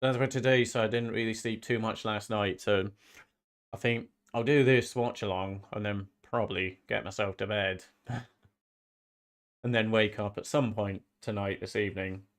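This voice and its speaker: A man's voice: fairly low pitch, fairly even intonation, moderate pace. Casual rambling man chatting on stream. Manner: rambling, often repeats phrases. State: slight head cold, sniffly. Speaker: streamer hosting a football watch-along. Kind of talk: casual live-stream chat.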